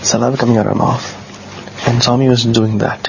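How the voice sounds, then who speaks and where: A man with a bassy low voice, telling a personal story in a casual, earnest way, often halting and repeating words. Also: little pitch movement, vocal fry. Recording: dull lo-fi sound with faint noise.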